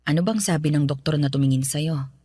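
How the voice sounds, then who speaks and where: A caring churchgoing aunt. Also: female voice, low-pitched, brisk, no echo, studio quality. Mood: concerned. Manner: gentle and concerned. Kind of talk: radio drama acting.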